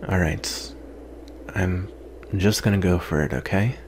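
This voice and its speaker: A man playing a guarded, weary yet secretly tender vampire in an intimate ASMR roleplay, soft-spoken with halting pauses. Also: low-pitched, noisy background.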